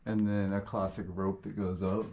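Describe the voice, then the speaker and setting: Male cave explorer, calm and wry, low voice, faint background noise. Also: normal speed, gravelly, muffled recording. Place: underground cave.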